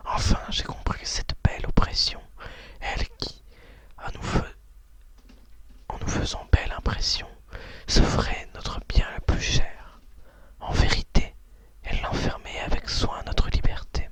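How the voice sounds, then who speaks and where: Female, ASMR host, breathy whisper, slow pace, soft hesitant delivery. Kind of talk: ASMR, whispered talk and poetry reading.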